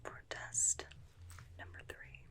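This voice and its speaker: A woman's voice: slow pace, natural voice. Woman acting a whispering, chatty vampire slayer. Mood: playfully interrogating. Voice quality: soft, breathy whisper. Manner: rambling, confiding, questioning. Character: female vampire slayer, inept, chatty, suspicious, obsessive. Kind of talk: whispered ASMR roleplay. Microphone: close binaural ASMR microphone.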